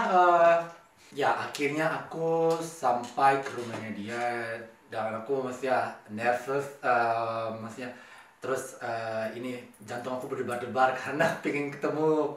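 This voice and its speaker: A man with an Indonesian accent telling a personal story casually to camera, halting and repeating his words, mixing in some English. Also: mid-range voice, fairly bright tone. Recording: very echoey room, distant mic.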